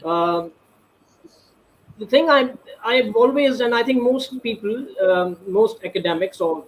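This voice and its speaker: A man's voice: high-pitched, lively intonation, a little slow, poor audio. A man answering as a panel guest, ornate and hesitant, with many restarts and fillers.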